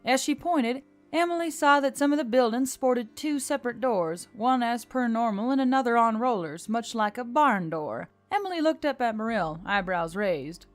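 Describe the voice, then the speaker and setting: High-voiced woman narrating fiction. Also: lively intonation, normal speed, few pauses. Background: faint music.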